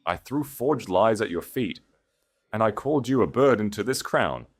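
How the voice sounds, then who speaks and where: A proud sovereign grand duke, acted out by a male narrator, speaks in a low, solemn voice. His delivery is regal and declamatory, made for a storybook romance, with grave authority in every phrase. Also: expressive, moderate pace. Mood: grave remorse. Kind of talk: dramatic romance audiobook dialogue.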